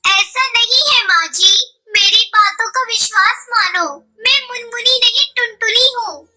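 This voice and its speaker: A woman's voice: very high-pitched, measured pace, pushed and bright, a little hiss or hum, exaggerated voice, rough recording. A woman voicing a sensible, wronged young female bird in a cartoon, speaking in an earnest, pleading manner. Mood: pleading, protesting.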